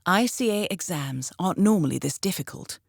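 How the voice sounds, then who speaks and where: A woman playing a composed, calculating intelligence handler in a video game, giving measured, precise mission briefings with dry, understated wit. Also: on the low side, animated, creaky, no room sound. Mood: grave, confiding.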